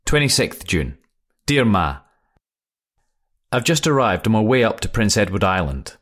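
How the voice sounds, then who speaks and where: A man's voice: lowish voice, sing-song, measured pace, a bit bright, full character voice. An actor playing a cheerful young airman, reading a breezy, plain letter home to his mother. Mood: cheerful, reassuring. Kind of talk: read-aloud wartime letter.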